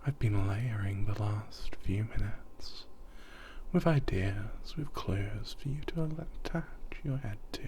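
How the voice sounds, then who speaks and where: A man guiding a sleep hypnosis, talking softly and often half in a whisper, rambling and repeating himself. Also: on the low side, slow, a little hiss or hum.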